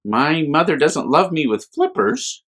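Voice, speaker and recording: A man's voice: fairly high pitch, wildly swooping pitch, measured pace, a bit bright, pitched above the performer's own voice, heavily acted. A man voicing a curious, innocent young polar bear cub in a children's storybook, with a wondering, questioning manner. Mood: pondering.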